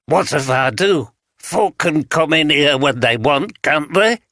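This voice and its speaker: Guy doing a gruff suspicious yorkshire vagrant, higher and pushier than his own voice, bright tone. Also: animated, slow pace, no echo, studio quality, heavily acted. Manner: gruff, guarded, blunt dialect speech. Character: wary scruffy homeless Yorkshireman, suspicious and gruff.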